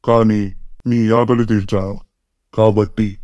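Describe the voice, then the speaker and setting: A man voicing a male ghost in a cartoon story, talking in a slow, matter-of-fact way as he bargains and advises. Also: on the low side, little pitch movement, dark tone, no room sound, lo-fi sound. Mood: bargaining.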